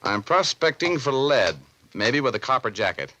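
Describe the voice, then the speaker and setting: A bright, punchy voice plays a wry private eye in a vintage radio drama. The pitch swings freely through cocky, slangy banter, flirtatious and hard-boiled at once. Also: male voice, sing-song, moderate pace, a little hiss or hum, poor audio. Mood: brisk, cocky. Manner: wisecracking, slangy first-person narration and banter. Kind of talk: 1940s radio detective drama acting. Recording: vintage radio broadcast with music.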